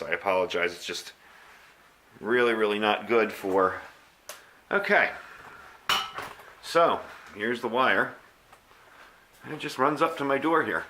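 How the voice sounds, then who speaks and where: An American man with a mid-pitched voice reviews gear in a casual, hobbyist way. He talks informally through each step as he shows it, like an enthusiast explaining his equipment. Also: fairly bright tone, a little hiss or hum. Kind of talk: casual product review and demonstration.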